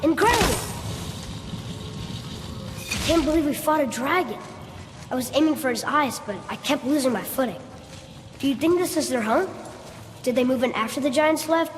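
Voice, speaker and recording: A man's voice: very high-pitched, normal speed, long pauses. A young male actor playing an eager, curious boy in a video game, earnest and full of questions. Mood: amazed, curious. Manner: inquisitive, earnest, excitable. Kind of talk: dramatic game acting. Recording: loud game music behind.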